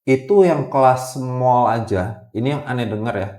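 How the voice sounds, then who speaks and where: A man talks in a casual podcast style. His medium-pitched voice sits in an echoey room. He often doubles back on words and phrases for emphasis. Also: moderate pace, dark tone. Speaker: podcast guest, gemstone trader. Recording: roomy, echoey podcast recording.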